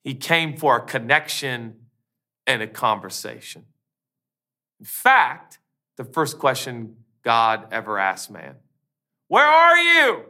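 A male pastor preaching an emphatic, animated sermon from a church stage, with quick asides and repetition. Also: medium pitch.

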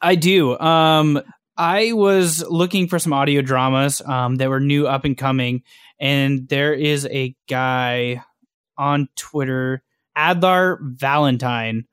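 A man's voice: medium pitch, takes it slowly, fairly bright tone, clean tone, dry recording, studio quality. A man being interviewed in a casual chat, explaining things in an informal, eager way. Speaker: podcaster and online community founder being interviewed.

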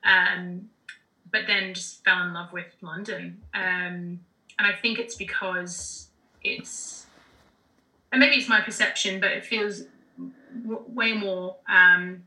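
A woman's voice: mid-range voice, steady tone, takes it slowly, sharp tone, reverberant, rough recording. A woman with an Australian accent, talking as a podcast guest in a chatty, casual way with frequent fillers and restarts. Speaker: podcast guest, general manager. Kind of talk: casual interview talk.

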